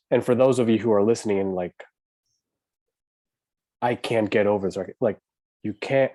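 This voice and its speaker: A man in his thirties hosting a podcast, speaking in a calm, chill, monotone way. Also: on the low side, no room sound. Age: 30s. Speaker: podcast host. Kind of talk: casual chat.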